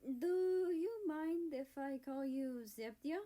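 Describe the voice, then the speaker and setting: A woman's voice: high voice, slow, warm tone, pitched above the performer's own voice. Woman reading as a stammering flustered young man. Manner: stammering, hesitant.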